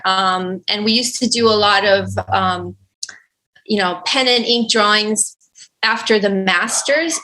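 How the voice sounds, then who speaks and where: Slow-talking Romanian woman, bright voice. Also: medium pitch, rough recording. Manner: slow, thoughtful, many fillers and restarts. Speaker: guest artist. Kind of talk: podcast interview.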